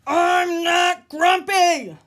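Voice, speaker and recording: A woman voicing a grumpy young male monkey in a storybook, loud, emphatic and defensive. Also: extremely high pitch, drawn-out words, pitched above the performer's own voice, heavily acted. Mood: angry outburst.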